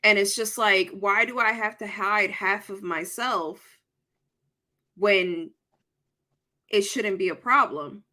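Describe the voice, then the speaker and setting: A woman with a Dominican accent who grew up between Puerto Rico and the Dominican Republic, co-hosting a podcast and telling stories in a blunt, animated way. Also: medium pitch, slow pace, pauses often. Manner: blunt, animated storytelling with casual swearing. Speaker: podcast co-host. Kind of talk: casual chat.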